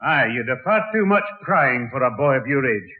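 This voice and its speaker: A man playing a folksy, gently scolding old grandad in a 1960s radio sitcom, on a thin old recording. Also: mid-range voice, animated, normal speed, narrow, tinny audio, close to an everyday voice. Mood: chiding.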